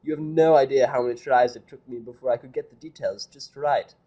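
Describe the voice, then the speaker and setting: A man's voice: medium pitch, dark tone, muffled recording, natural voice. A man voicing a refined, theatrical unicorn mare dressmaker who gushes and draws out her words in a storybook reading. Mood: delighted. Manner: theatrical, gushing, drawn-out. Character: posh refined unicorn mare fashion designer.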